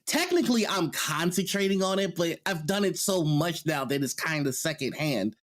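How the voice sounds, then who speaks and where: A speaker with a medium-pitched voice chats on a panel about tabletop games. The delivery is conversational, and ideas are explained through examples. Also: sing-song, moderate pace. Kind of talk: casual tabletop game discussion.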